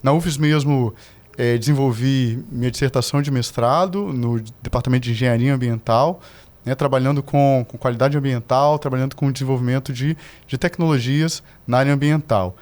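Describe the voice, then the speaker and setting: A middle-aged man from Salvador, Brazil, a podcast guest giving measured, explanatory answers about environmental management. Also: medium pitch, darkish tone. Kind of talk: formal interview answers.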